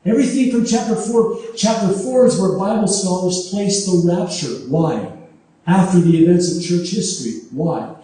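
A man preaching a sermon on prophecy whose first language is Arabic, speaking emphatically and at times rising to high exclamations. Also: normal speed, mellow. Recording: echoey hall from far off.

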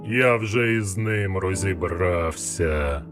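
Give this one to a menacing nightmare sorcerer. The voice is dry and hollow, fairly low, and drags each word out extremely slowly as it taunts, in a fantasy audiobook drama with music behind it. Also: male voice. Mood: triumphant, taunting. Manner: very slow, drawn-out taunting delivery. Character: menacing all-knowing dark sorcerer, dream apparition.

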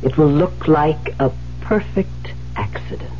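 An actor playing a cold, calculating male murderer, deliberate and condescending, in 1970s radio drama style. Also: a little high, very noisy, natural voice. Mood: smug, condescending. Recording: muffled, humming old radio broadcast.

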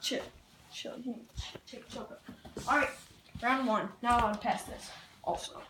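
A woman's voice: on the high side, fairly even intonation, normal speed. A woman chatting casually as a vlog host, with slangy, muttered asides. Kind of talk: casual challenge vlog chat. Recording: very noisy home video sound.

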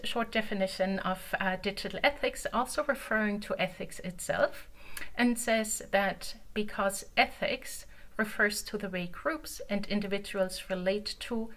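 A woman's voice: medium pitch, measured pace, fairly bright tone, rough recording. German-accented woman giving an online talk. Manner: conversational, many filler ums. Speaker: conference presenter. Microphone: video call.

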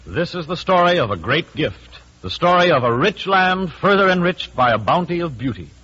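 An old radio recording, muffled and reverberant. A man narrates in a declamatory 1940s style, his voice raised, bright and forceful, with some rasp. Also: fairly high pitch, sing-song, moderate pace.

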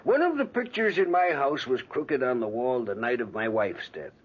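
A man's voice: mid-range voice, lively intonation, normal speed, husky. An actor playing a chatty older male visitor who rambles through superstitious stories in an old radio drama. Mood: storytelling. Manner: rambling, chuckling storyteller. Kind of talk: 1940s radio acting. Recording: dull lo-fi old radio recording.

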